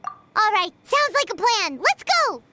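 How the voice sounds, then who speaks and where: A woman's voice: very high voice, very animated, measured pace, heavy background noise, heavily acted. A woman voicing a tiny, blunt female companion creature who teases and hurries others along in a video game. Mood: impatient. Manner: blunt, teasing. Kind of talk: game voice acting.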